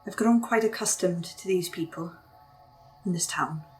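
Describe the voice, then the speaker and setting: A woman playing a cunning cursed woman who takes raven form, wry and measured as she bargains. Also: on the low side, faint music behind, natural voice, poor audio.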